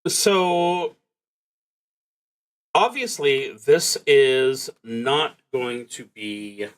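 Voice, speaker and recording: A man casually narrating a solo roleplaying game as a streamer, thinking aloud in a halting way and muttering to himself between announcements. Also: medium pitch, smooth, poor audio.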